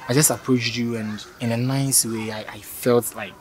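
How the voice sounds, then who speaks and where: A man's voice: mid-range voice, steady tone, normal speed, faint music behind, lightly acted. An actor playing a polite, awkward young man who stammers and speaks apologetically. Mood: pleading. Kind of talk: scripted skit acting.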